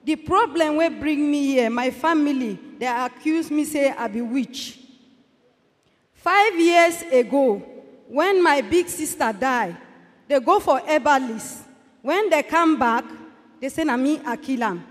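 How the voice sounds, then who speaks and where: A woman's voice: high-pitched, animated, unhurried. A middle-aged woman with a Cameroonian accent giving a pleading personal testimony into a handheld microphone. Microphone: handheld microphone held for her. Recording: echoey large church hall.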